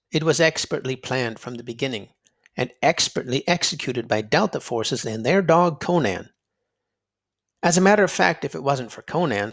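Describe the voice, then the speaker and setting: A man reading aloud to children in an expressive storybook style, dramatic and emphatic, drawing out words for effect. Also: mid-range voice. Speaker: children's read-aloud narrator and quiz host.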